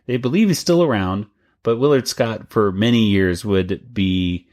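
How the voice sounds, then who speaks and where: A man's voice: on the low side, unhurried, darkish tone. A man co-hosting a podcast about a cartoon, talking casually and rambling a bit with restarts and laughs. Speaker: podcast co-host. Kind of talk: casual chat.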